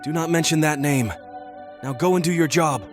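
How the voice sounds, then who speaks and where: A man's voice: on the high side, normal speed, close to an everyday voice. A man playing a pompous, condescending owl politician with a menacing streak in an audio play. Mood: angry. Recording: music behind the voice.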